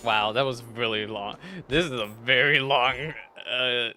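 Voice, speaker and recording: A man's voice: mid-range voice, a little slow, bright, forceful tone, a little hiss or hum. A man doing casual live gameplay commentary as a streamer, muttering offhand asides. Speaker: game streamer. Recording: game music behind.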